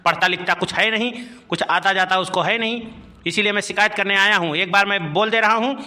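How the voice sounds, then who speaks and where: A man acts out a village schoolteacher in a comic cartoon, stern yet easily cowed. His voice sits high and has a bright, forceful edge. He speaks in an admonishing, complaining way. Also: fairly even intonation, a little fast. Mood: indignant.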